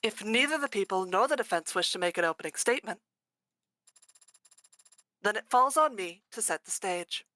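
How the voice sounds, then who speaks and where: A woman voicing a stately female machine judge, speaking in a formal, measured courtroom manner. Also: mid-range voice, expressive, pushed and bright, no echo, poor audio. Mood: solemn. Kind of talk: voice acting a game live on stream.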